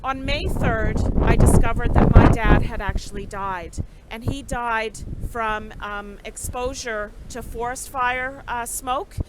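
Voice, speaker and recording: A woman telling a grave personal story slowly and haltingly. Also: on the high side, fairly even intonation, very noisy. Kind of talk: documentary testimony.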